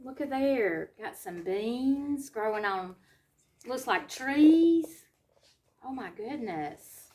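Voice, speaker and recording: A woman reads a storybook aloud to children, pausing to explain simply and ask them questions. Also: a little high, expressive, dark tone. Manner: simple explanatory asides and questions to young listeners.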